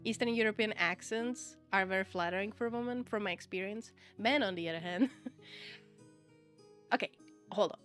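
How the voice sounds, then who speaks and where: A woman's voice: mid-range voice, moderate pace, long pauses, fairly bright tone, faint music behind. Chatty Czech-accented woman streamer. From Czech Republic. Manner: chatty, laughs often, repeats "okay?" to stress points. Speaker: VTuber streamer. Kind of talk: casual livestream chat, answering viewer chat.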